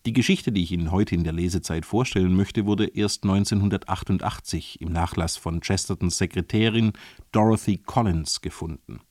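A man presenting a scripted literary introduction on the radio in a measured, formal way. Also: low-pitched, darkish tone, no room sound, studio quality. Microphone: close studio microphone.

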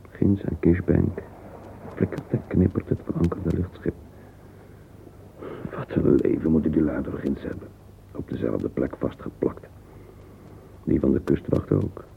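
An actor playing a wistful middle-aged man lost in thought, murmuring a halting interior monologue in a radio play. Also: deep voice, vocal fry. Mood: nostalgic. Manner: murmuring, halting, fragmentary musing, sometimes whispered. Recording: narrow-band lo-fi old radio recording, hissy.

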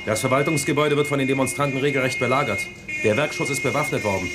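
An actor playing an anxious, loyal aide in a radio play, talking fast about trouble outside. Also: male voice, medium pitch, loud music behind the voice, close to an everyday voice. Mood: worried. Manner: anxious, talkative. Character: worried, loyal male aide. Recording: crowd murmur behind.

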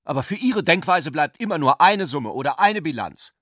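Guy doing a scornful young student idealist, raised pitch, declaiming, radio drama, dry sound. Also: expressive, moderate pace, fairly bright tone, dry recording. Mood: heated, combative. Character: haughty, combative young male student idealist.

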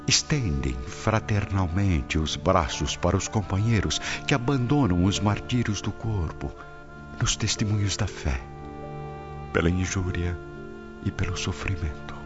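A man's voice: sits low, lively intonation, unhurried. A man with a Brazilian accent giving solemn scripted narration for a radio drama. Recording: dull lo-fi, music behind.

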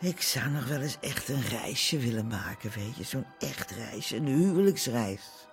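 A woman's voice: rumbling low, expressive, measured pace, heavily acted. A long-married café landlady in a radio play. She is middle-aged, chatty and forgiving, with a wistful way of talking. Light music plays behind her. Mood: wistful, dreamy. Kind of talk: naturalistic radio drama acting.

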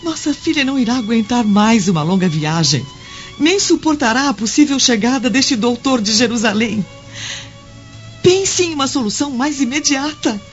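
A woman's voice: a little high, expressive, moderate pace, natural voice. A woman playing a devout, worried Roman wife and mother in a radio drama, speaking in an earnest, imploring way. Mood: pleading. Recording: dull lo-fi audio over music.

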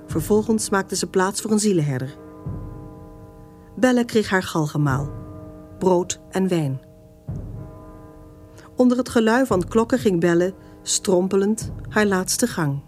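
A woman's voice: mid-range voice, a little mellow. A woman narrator reading a scripted story for a radio serial. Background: music.

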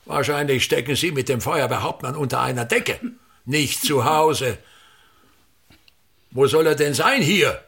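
A man's voice: medium pitch, a little slow, gravelly, pauses often, fairly bright tone, slightly rough, heavily acted. An actor in a radio drama playing a pompous, domineering older theatre director and father who delivers grandiose, pedantic, repetitive monologues. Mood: irritated, demanding.

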